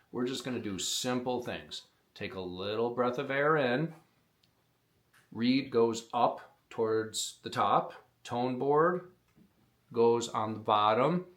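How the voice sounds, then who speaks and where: A man explaining step by step, in a plainspoken way, how to blow a hunting call, teaching beginners on camera. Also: lowish voice. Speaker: call maker teaching predator calling. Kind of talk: instructional demonstration.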